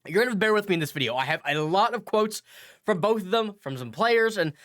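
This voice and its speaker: A man's voice: sits high, animated, fairly quick, fairly bright tone. A man with a stuffy, nasal voice from a cold, hosting a casual hockey news commentary and rambling through his points. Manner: rambling, self-correcting, reads quotes aloud. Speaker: hockey news channel host.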